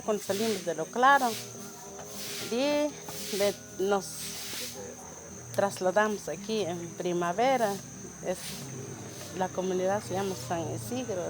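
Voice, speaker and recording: A woman from Huehuetenango gives a halting, hesitant testimony with many restarts. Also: mid-range voice, animated, over music. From Huehuetenango, Guatemala. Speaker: community resident sharing testimony. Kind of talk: testimony interview.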